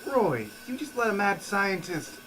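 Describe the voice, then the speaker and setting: A man's voice: high-pitched, very animated, measured pace, noisy background. A man playing a nagging, sarcastic, incredulous sidekick to a reckless companion in a comic radio play. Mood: exasperated.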